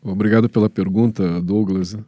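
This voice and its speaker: A Brazilian man answering as a professor in an interview, explaining haltingly with repeated words and frequent tag questions. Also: lowish voice, steady tone, dark tone, no room sound, studio quality. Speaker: professor, panel guest.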